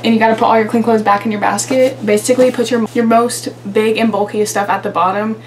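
A young woman with a fairly high voice talks to the camera in a relaxed, giggly vlog style. She chats to her viewers as she tidies a small carpeted bedroom. A faint hiss sits under the handheld camera recording. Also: fast. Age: young adult. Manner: chatty, giggly, addresses viewers as guys. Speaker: vlogger. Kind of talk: casual vlog narration.

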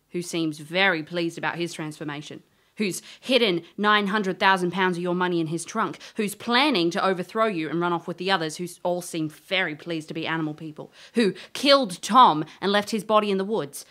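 Wry, low-voiced woman investigator woman acting. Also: moderate pace, fairly bright tone, close to an everyday voice. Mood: wry, challenging. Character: sharp, wry woman investigator in a fantasy adventure. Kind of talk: audio drama acting.

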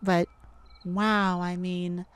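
A woman playing an excitable, affectionate mage sweetheart in a romantic ASMR roleplay, with a gushing, easily flustered, rambling manner. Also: on the low side, drawn-out words, clean tone, dry recording. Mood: delighted.

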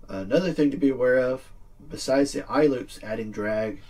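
A middle-aged man with a husky voice giving a casual, plain-spoken instructional demonstration, recorded indoors from a few feet away. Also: medium pitch, moderate pace, a little mellow, recorded from a distance. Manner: plain-spoken, informal explaining. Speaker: fishing video presenter. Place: small indoor room with green screen backdrop.

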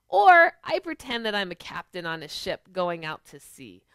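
A middle-aged woman, a children's pastor, hosting an animated storytime for preschoolers and speaking to them with questions. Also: mid-range voice, no room sound. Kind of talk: storytime for preschoolers, chat and picture-book reading. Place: small living room at home, on a couch.

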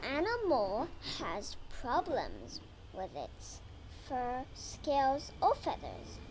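A young girl reads a children's picture book aloud at a very slow, careful pace. Her voice is high and lively in pitch, and she sometimes trips over a word and starts it again. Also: slight background noise, poor audio. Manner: slow careful reading with some stumbles. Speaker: reader.

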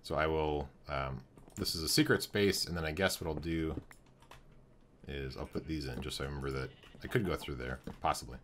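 Young male streamer, very low voice turning hoarse, casual think-aloud commentary at a desk mic, light keyboard noise. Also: fairly quick, a bit bright. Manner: thinking aloud while building, greeting chat viewers. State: losing his voice. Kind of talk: live gameplay commentary.

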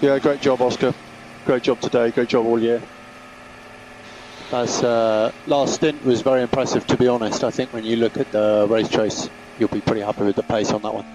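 A man's voice: medium pitch, fairly even intonation, normal speed. A man speaking as a race engineer over team radio, giving procedural instructions and feedback. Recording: noisy car team radio. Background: car noise.

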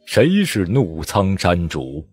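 Man doing an eerie old warrior guy, super slow, low and breathy. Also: huge pitch swings, darkish tone, full character voice. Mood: ominous.

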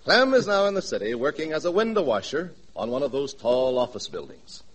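Animated 1940s radio announcer man. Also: medium pitch, brisk, low-quality recording. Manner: polished, emphatic.

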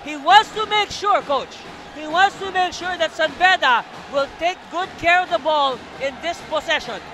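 A man calling a live basketball game from courtside, energetic and shouting on the big plays. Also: extremely high pitch, normal speed, crowd noise. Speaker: play-by-play commentator. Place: courtside booth in a packed arena. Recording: noisy arena broadcast.

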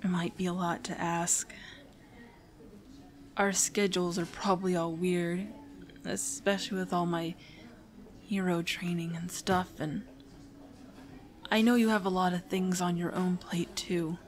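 A woman's voice: lowish voice, level tone, measured pace, long pauses, natural voice. A woman playing a shy, nervous teenage hero-in-training in a romantic audio roleplay, speaking in a hesitant, apologetic, rambling way. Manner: hesitant, stammering, apologetic, prone to anxious rambling.

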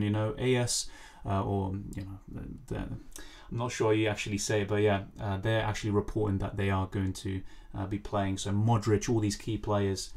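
A man with a hoarse, lost voice, casually chatting on a live stream and answering viewer questions over a faulty microphone. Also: fairly low pitch, darkish tone. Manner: apologetic, rambling, answers chat questions. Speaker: fantasy football streamer. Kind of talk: casual live stream chat, reading comments.

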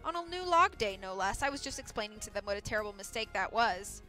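A woman's voice: mid-range voice, expressive, quick pace, sharp tone, soft background music. A woman voicing a timid, kindly man in a storybook, a deferential partner with a nervous, mild and yielding manner.

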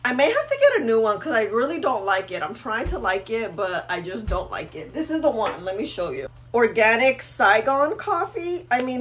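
Animated, high-pitched woman chatting casually. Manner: informal, candid, chatty. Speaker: vlogger. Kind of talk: casual vlog chat to camera.

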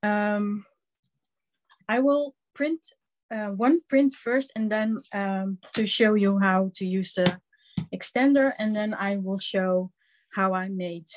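Slow, hesitant Dutch-accented woman teaching crafts. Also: medium pitch, darkish tone. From Netherlands. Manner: slow, halting, many ums, hands-on explaining. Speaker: craft instructor. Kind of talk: live online craft class demonstration.

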